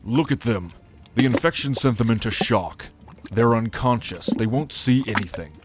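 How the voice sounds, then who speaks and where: A man's voice: medium pitch, moderate pace, noisy background, lightly acted. A man playing an ancient, haughty, brooding immortal son of a god in a fantasy audio roleplay, speaking formally and solemnly with disdain. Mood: impatient, confident.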